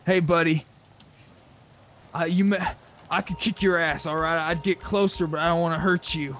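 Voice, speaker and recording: A man's voice: a little high, fairly even intonation, normal speed, fairly bright tone, slight background noise. A man chatting casually in video game roleplay, swearing freely with mock indignation. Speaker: gamer, roleplay streamer.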